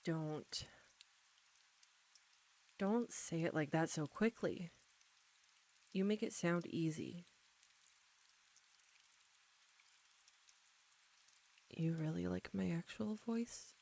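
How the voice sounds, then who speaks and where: A woman playing an embarrassed, anxious young roommate in an intimate audio roleplay, speaking softly and haltingly straight to the listener. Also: on the low side, gravelly, low-quality recording. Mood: vulnerable, shy. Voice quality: soft. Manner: halting, flustered, nervous stumbling.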